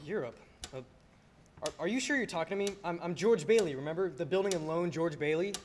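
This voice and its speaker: A man's voice: a little high, brisk, close to an everyday voice. A young man playing an earnest, frustrated young husband in a radio play, often hesitant and stammering. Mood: stunned, hesitant. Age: young adult. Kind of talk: filmed radio play acting. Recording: faint background noise.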